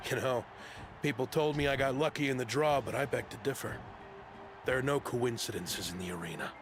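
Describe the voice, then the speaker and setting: A man's voice: medium pitch, a little fast, close to an everyday voice. An actor voicing a cocky, battle-loving male arena fighter in a video game, with smug, theatrical taunts. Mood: smug challenge. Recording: music behind the voice.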